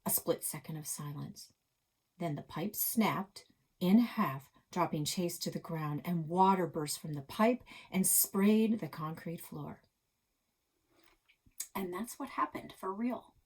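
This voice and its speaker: A woman reading a children's story aloud as a dramatic narrator, expressive and suspenseful. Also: on the low side, slow pace, husky.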